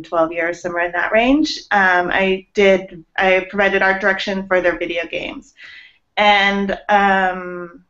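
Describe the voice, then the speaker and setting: A woman's voice: mid-range voice, fairly bright tone. A woman in her forties from Los Angeles, a guest artist chatting casually in an interview with many ums and laughs, on a video call from her home studio. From Los Angeles, USA. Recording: muffled video call audio.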